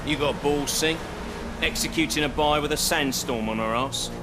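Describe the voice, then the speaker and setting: A man's voice: moderate pace, over music, natural voice. A man playing a cocky, curt villainous arms dealer in a video game. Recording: clear background noise, vehicle rumble.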